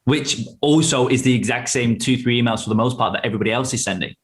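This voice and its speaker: Male interview host, mid pitch, relaxed and agreeable, easy conversational questions. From England, raised in Australia. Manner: relaxed, agreeable, quick affirmations. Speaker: interview host, business coach. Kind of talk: casual interview chat.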